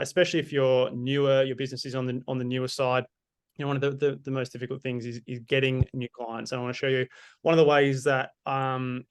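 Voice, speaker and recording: Brief: British male guest speaker, smooth clear tone, steady flat delivery, hesitant phrasing. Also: medium pitch. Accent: non-Mancunian British. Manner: hesitant, many fillers and restarts. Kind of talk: webinar presentation.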